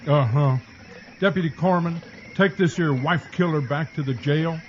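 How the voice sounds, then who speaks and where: A man's voice: fairly high pitch, normal speed, lightly acted. Man acting an irritated backwoods sheriff. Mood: exasperated. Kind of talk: campy B-movie parody radio acting. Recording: dull lo-fi, clear outdoor-like noise.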